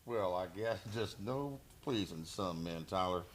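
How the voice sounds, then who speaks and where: A man's voice: low voice, expressive, measured pace, a little hiss or hum. A man playing a swaggering, mocking cowboy bully in a Western radio play, with a folksy, taunting manner.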